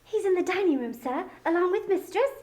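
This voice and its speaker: A woman's voice: very high-pitched, expressive, a little fast, darkish tone. A woman playing a young, polite Victorian servant girl in a dramatic storybook reading. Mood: polite, deferential.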